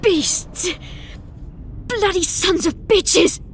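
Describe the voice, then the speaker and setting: An actress playing a distraught, bitter sorceress who survived persecution, in a dramatic fantasy video game. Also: female voice, very high voice, drawn-out words, faint music behind, heavily acted. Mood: furious. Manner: agitated, bitter.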